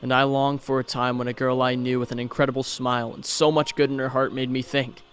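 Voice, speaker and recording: Man acting as young male superhero, grieving, tender, earnest and halting, comic dub monologue, music underneath. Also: medium pitch, level tone, fairly bright tone, close to an everyday voice. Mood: wistful, grieving.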